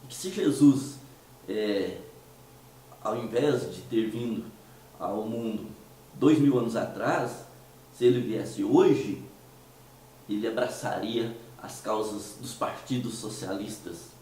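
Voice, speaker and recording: A man from Minas Gerais with a Mineiro Brazilian Portuguese accent, hoarse from a cold, hosting a conversational commentary monologue with hesitant restarts and rhetorical questions. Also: fairly low pitch, takes it slowly, long pauses, warm tone, heavy reverb, recorded from a distance, a little hiss or hum, low-quality recording. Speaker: host of a commentary show.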